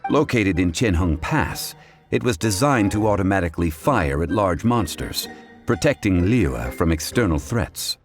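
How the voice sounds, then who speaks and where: A man voicing a composed, courteous scholarly gentleman in a video game, formal, measured and wry, over music. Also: sits low, lively intonation. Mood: thoughtful, composed. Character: composed, erudite gentleman consultant, courteous and unhurried. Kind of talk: scripted video game dialogue.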